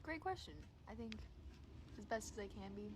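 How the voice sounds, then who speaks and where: A woman's voice: a little high, animated, moderate pace, background noise. A woman in her twenties, chatting casually on a phone livestream from her room, rambling and swearing freely. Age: 20s. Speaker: social media creator and DJ. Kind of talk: casual livestream chat to viewers. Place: her room at home, indoors.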